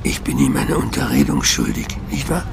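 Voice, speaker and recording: An actor playing an old Chinese man in a radio drama, sorrowful, dignified and halting. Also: extremely low pitch, huge pitch swings, vocal fry, over music, heavily acted. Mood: sorrowful, ashamed. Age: older.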